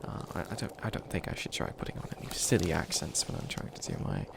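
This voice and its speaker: Man playing a gravelly, bumbling wannabe villain witch. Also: deep voice, wildly swooping pitch, fast, noisy background, voice pushed down, exaggerated voice. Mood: flustered, unsure. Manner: stammering, rambling, easily flustered. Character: bumbling young male witch, wannabe villain, flustered and defensive. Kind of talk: comedic ASMR roleplay, talking to the listener.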